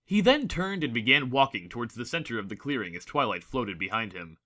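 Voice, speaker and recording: A man's voice: medium pitch, very animated, a little fast, pushed and bright. A man reading scripted story narration as the narrator of a dramatic audiobook.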